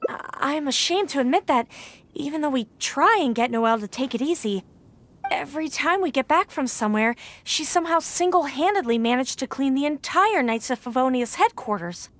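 A woman playing a dutiful, concerned young female knight on patrol in a video game, speaking briskly. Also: high voice, animated, fairly bright tone.

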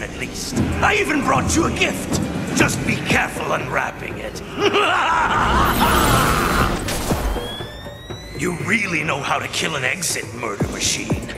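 A twisted, sadistic game villain who relishes every word. The voice is high and gravelly, swinging theatrically in pitch with a mocking drawl, over loud music. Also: male voice, wildly swooping pitch, unhurried, heavily acted. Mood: mocking glee. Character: sadistic, gleeful evil twisted Batman from another dimension. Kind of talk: video game villain acting.

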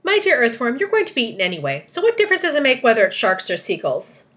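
A rascally storybook centipede played by a woman reader, scornful and bossy. His blunt, mocking delivery is high and forceful, with lively swings in pitch. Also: fast talker.

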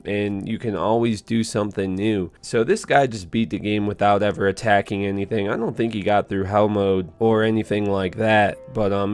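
A young man with a fairly low voice that sounds a bit hoarse and worn. He talks informally and earnestly to his gaming channel viewers, often circling back to repeat himself. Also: a little mellow. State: hoarse, voice strained after a concert. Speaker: YouTube gaming channel host. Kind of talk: casual commentary to viewers. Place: small indoor room at home.

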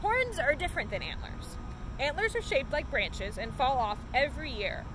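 A young adult woman reading a children's picture book aloud in an expressive, animated way, outdoors. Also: sits high, normal speed. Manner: animated, acts out dialogue. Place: outdoors under a tree in a park. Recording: outdoor noise of distant traffic.

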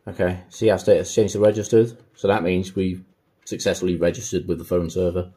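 A man's voice: deep voice, flat delivery, brisk, dark tone, husky. A man narrating a casual hands-on tutorial as an installer, thinking aloud in an informal way. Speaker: installer demonstrating intercom setup.

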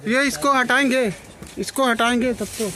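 A man's voice: extremely high pitch, steady tone, normal speed. A young man filming a casual travel vlog on a phone at arm's length, chatting to his viewers like friends from a train and station platforms. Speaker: travel vlogger. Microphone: phone held at arm's length. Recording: loud train noise behind.

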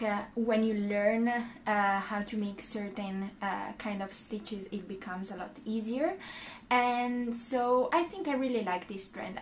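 A young woman with an Italian accent talks to the camera in a small room, slowly and unhurriedly, filling pauses with "uh". Her voice sits at a middle pitch, and a faint hiss of room noise lies under it. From Italy. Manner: unhurried, many "uh" fillers, gestures with hands. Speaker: knitting YouTuber. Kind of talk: casual talk to camera.

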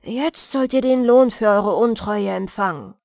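A woman voicing a stern but soft-hearted young male hunter in a measured storybook reading. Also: medium pitch, gravelly, no room sound, studio quality. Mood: firm.

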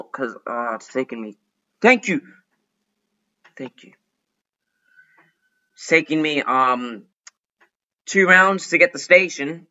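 A young man talks his way through an online game in a chatty, excitable manner, pleading and fretting out loud. His voice is bright and sharp. The recording is dull and lo-fi, like a cheap desktop mic. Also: medium pitch. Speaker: gaming YouTuber. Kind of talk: casual gameplay commentary.

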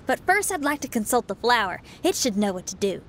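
A woman's voice: on the high side, big pitch swings, a little fast, slight background noise. A woman playing an eager, spirited young musician in a video game, with an upbeat, folksy manner. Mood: earnest. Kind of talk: scripted video game dialogue.

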